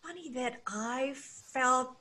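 A woman's voice: high-pitched, slow, sharp tone. A woman from Indonesia hosting an interview over a video call from home, speaking hesitantly with many fillers. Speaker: host, relationship coach. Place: home room. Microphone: video call, laptop camera.

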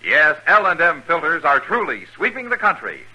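A man's voice: on the high side, wildly swooping pitch, moderate pace, sharp tone, husky, poor audio. A man reading a 1950s radio advertisement as a commercial announcer.

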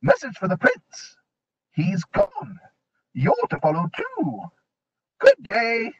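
A man voicing a sleepy, muddled male owl who hoots and repeats his words in a sing-song falsetto, on a dull lo-fi recording. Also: wildly swooping pitch, slow, a bit bright, breathy edge, heavily acted. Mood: fussy.

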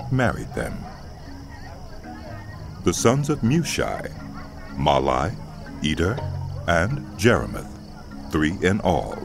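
A man narrating scripture for an audio drama in a measured, solemn manner. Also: a bass voice, creaky. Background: music.

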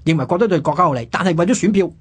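A man with a Hong Kong Cantonese accent hosting radio, telling history conversationally with many drawn-out sentence particles. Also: a little high, animated, husky. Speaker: radio programme host.

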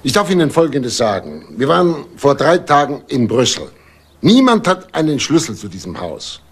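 An indignant, formal homeowner in a crime drama. The voice is husky and mid-pitched, with lively swings in intonation and a clipped, haughty manner. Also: male voice, normal speed, heavily acted. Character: indignant, well-off married male homeowner under questioning. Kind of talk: German TV crime drama acting.

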